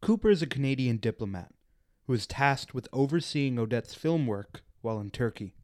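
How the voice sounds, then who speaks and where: A man's voice: mid-range voice, animated, normal speed, a little mellow, no echo, clean studio sound. A man reading scripted narration as the narrator of a radio play.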